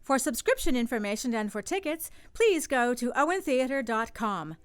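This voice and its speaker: A woman's voice: a little high, big pitch swings, normal speed, smooth. A woman reading a theater ticket promo in an upbeat way. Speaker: promo reader.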